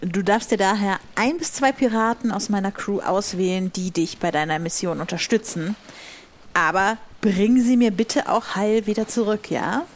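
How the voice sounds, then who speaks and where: A woman's voice: medium pitch, moderate pace, natural voice. A woman playing a commanding but caring pirate captain in tabletop roleplay, giving orders. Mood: commanding, cautioning.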